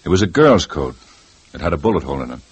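A man's voice: a bass voice, big pitch swings, fast talker, husky, heavily acted. An actor playing a male police detective who questions in a clipped, insistent way, in a 1950s radio drama.

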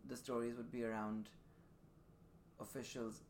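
A man in his thirties from Dubai, a podcast guest telling his personal story reflectively in thoughtful, measured phrases. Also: medium pitch, flat delivery. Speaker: podcast guest, performing artist. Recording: faint room noise behind the voice.

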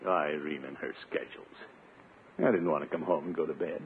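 An actor playing a playful, grumbling middle-aged bachelor uncle in a blustery 1950s radio sitcom style. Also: male voice, a bass voice, fairly even intonation, fairly quick, faint music behind. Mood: grumbling, restless. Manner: blustery, wheedling, theatrical grumbling. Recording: muffled, narrow-band 1950s radio recording, hiss.

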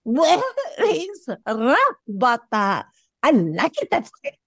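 A woman's voice: high-pitched, wildly swooping pitch, slow pace, husky, no room sound, clean studio sound, exaggerated voice. A woman playing a vain, girlish female show dog in an audio play. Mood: gushing, catty.

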